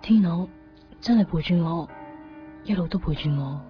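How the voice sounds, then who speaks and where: A woman acting a conflicted young woman in a Cantonese radio drama, speaking with a Hong Kong accent in halting, introspective phrases. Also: on the low side, natural voice. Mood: brooding. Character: conflicted young woman torn in love, brooding and evasive. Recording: dull lo-fi 1990s radio recording, music behind. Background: background music.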